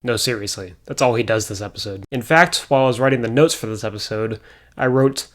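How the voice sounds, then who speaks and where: A man with a hoarse voice recapping episodes of an old show as a review host, with dry, sarcastic asides. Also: medium pitch, fast talker, fairly bright tone. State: hoarse after a concert.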